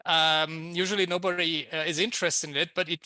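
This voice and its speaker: An Austrian-accented male law professor, a native German speaker, offering scholarly commentary. His voice sits fairly high and has a very bright edge, with a halting, searching delivery and a dry, close sound. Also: level tone, dry recording. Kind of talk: academic discussion commentary.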